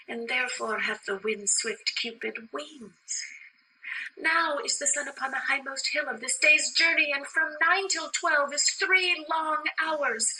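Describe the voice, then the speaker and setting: A woman's voice: sits high, pushed and bright, heavily acted. A woman playing an impatient, lovestruck teenage girl in a theatrical Shakespearean drama, declaiming and pleading with dramatic emphasis. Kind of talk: theatrical Shakespearean stage acting. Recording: distant microphone.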